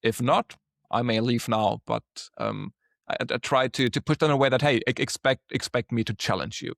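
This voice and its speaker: A man's voice: mid-range voice, moderate pace, vocal fry, a bit bright, no echo, clean studio sound. A man in his thirties with a German accent, speaking frankly as a guest in a casual podcast interview and repeating words as he restarts. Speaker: guest, solution engineer.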